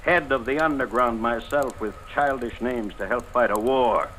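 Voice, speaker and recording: Male actor as former resistance leader: mid-pitched, forceful, clipped, formal, bitter. Vintage radio drama, faint noise. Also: normal speed, lightly acted. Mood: bitter, declamatory. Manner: declamatory, clipped, formal phrasing. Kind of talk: 1950s radio drama acting. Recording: noisy old radio broadcast recording.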